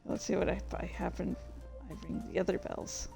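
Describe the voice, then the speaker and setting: A woman's voice: fairly low pitch, level tone, brisk, gravelly, over loud music. A woman hosting a livestream in casual, scattered chat, easily distracted and swearing freely. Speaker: streamer and host of a dramatic reading series. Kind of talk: casual, rambling livestream chat while playing a game.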